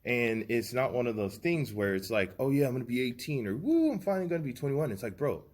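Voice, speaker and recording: A man's voice: medium pitch, sing-song, fast talker, darkish tone. A man in his early thirties talking earnestly and reflectively as a podcast co-host, giving motivational advice. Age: 30s. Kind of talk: casual podcast chat.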